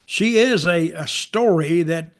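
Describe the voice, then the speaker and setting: An older man chatting casually as a podcast co-host, giving opinions in a halting way with repeated, restarted phrases. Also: a little high. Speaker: podcast co-host and wrestling veteran.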